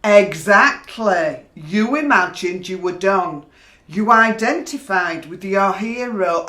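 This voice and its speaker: An actress plays a probing woman in a radio play, her voice ringing in a roomy space. She speaks slowly and deliberately, with expressive rises and falls, pressing her analysis home. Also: medium pitch, full character voice. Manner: deliberate, pointed, challenging. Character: probing, analytical female questioner challenging an obsessed man. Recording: roomy, echoing voice recording.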